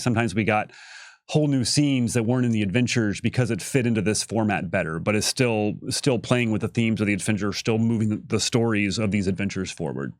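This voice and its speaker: A man's voice: fairly low pitch, no room sound, studio quality. A man talking conversationally in a livestream Q&A, explaining things and restarting his phrases. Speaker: creative director co-presenting on a livestream. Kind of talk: unscripted livestream Q&A.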